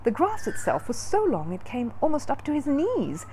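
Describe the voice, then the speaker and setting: A woman storyteller narrating a children's book in an expressive read-aloud style. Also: sits high, normal speed, slight background noise.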